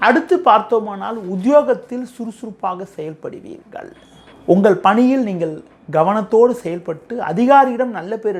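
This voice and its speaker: Tamil nadu guy doing astrology forecast, creaky voice, formal and measured, explains stuff. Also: high voice. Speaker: astrologer, presenter. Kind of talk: astrology forecast addressed to viewers.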